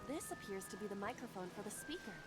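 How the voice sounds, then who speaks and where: A woman's voice: mid-range voice, expressive, quick pace, lightly acted. An actress voicing a precise, instructive female AI eyeball companion in a detective video game, explaining things matter-of-factly. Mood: instructive, assured. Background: game music.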